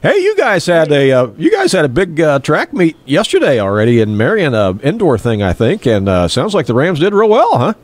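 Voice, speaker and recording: A man hosts live sports talk from a radio studio in a relaxed, chatty style. His voice is scratchy and nasal from congestion, and his pitch moves around freely and expressively. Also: fairly quick, hardly any pauses. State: congested from a lingering cold.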